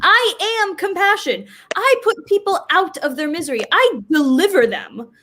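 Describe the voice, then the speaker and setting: Lady acting as prim polite death, really high voice, formal n businesslike, play reading. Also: animated, normal speed, pushed and bright, heavily acted. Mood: prim, insistent. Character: prim, businesslike personified Death collecting a dying soul.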